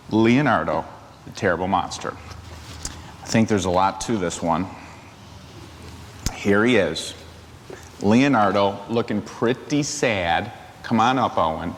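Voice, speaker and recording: A middle-aged man reads a picture book to children in a big, echoing hall. He takes his time and drops in playful, chatty asides. His voice sits at an ordinary male pitch, with faint noise behind it. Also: animated, unhurried, long pauses. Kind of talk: animated read-aloud story time with asides to children. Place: large church hall, seated among children. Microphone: lavalier clipped to shirt.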